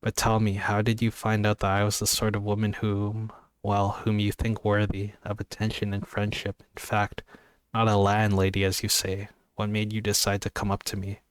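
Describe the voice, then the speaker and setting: A man reading the lines of a reserved, gentle young woman from a classic novel, in his own flat voice. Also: fairly low pitch, normal speed, smooth, no room sound, natural voice.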